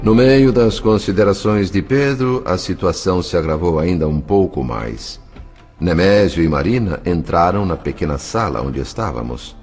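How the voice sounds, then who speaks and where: A low-voiced man reads scripted narration with dramatic, lively intonation. His delivery is measured and literary, with faint music behind him. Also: slightly rough.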